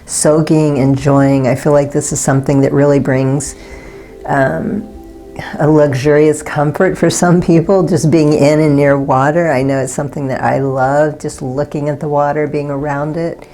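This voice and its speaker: A woman giving a pick-a-card tarot reading in a soft, unhurried and gently reassuring way. Her voice is slightly raspy, and she often pauses to search for words. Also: deep voice, flat delivery, faint music behind. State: slightly raspy from not talking all day.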